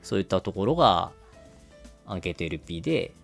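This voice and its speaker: A man's voice: sits low, big pitch swings, fast talker, vocal fry, soft background music. A man explaining things step by step in a business podcast style, using everyday examples. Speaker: podcast host explaining marketing.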